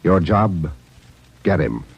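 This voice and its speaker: A man's voice: deep voice, expressive, takes it slowly, creaky. A man reading dramatic 1940s radio narration, stern, emphatic and clipped. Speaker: announcer. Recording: old radio recording, loud brass music.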